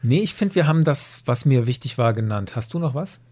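A man talking casually as a podcast guest, opinionated and expressive in how he makes his points. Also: medium pitch, a little fast. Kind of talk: casual discussion.

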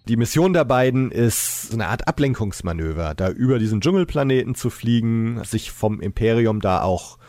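A man's voice: medium pitch, animated, moderate pace, hardly any pauses, darkish tone. A man on a podcast, retelling a plot in a casual, conversational style. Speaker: podcast guest.

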